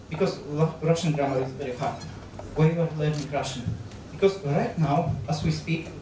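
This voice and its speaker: A man in his thirties with a Polish accent, giving a public lecture on stage into a handheld mic, halting often with fillers and restarts. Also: a little high, background noise. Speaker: guest lecturer on astronaut training. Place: large lecture hall, on stage. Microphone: handheld microphone. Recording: very echoey hall, captured from far off.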